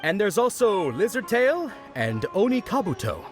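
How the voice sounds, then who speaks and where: High-voiced, hearty festival knight. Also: male voice, very animated, moderate pace, music in the background. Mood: friendly, salesmanlike. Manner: hearty, theatrical showman. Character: jovial male festival knight performer entertaining children. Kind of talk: video game character acting.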